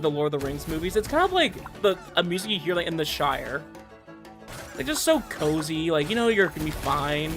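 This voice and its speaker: Guy streaming games, voice a bit on the high side, rambly excited chat, faint music behind him. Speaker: game livestreamer. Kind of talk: casual chat while streaming gameplay.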